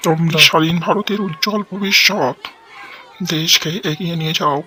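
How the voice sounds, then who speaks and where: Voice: medium pitch, normal speed, sharp tone, faint music behind, natural voice. A performer playing a solemn, instructive adult speaking to children in a village cartoon. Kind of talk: children's cartoon voice acting, speech-like delivery.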